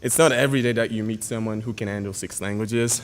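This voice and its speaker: A young man, a graduating senior whose first language is Yoruba, reading a formal, earnest speech at a podium with halting pauses and fillers. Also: lowish voice, fairly even intonation. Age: young adult. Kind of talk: prepared speech read at a podium. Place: school auditorium. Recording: hall reverb.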